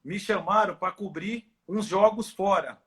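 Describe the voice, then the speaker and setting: A man's voice: high-pitched, unhurried, fairly bright tone. A middle-aged man with a São Paulo countryside Brazilian accent, chatting in a friendly, informal way as an interview guest. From Ribeirão Preto, São Paulo, Brazil. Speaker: guest, football club doctor. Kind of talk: casual interview chat. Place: at home on a video call.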